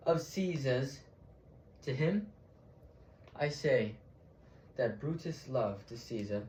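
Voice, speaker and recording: Man acting solemn Roman senator, stage Shakespeare, very slow declamation, dramatic swells, echoey room. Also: a little high, voice pushed up. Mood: solemn, grieving. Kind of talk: theatrical Shakespearean monologue.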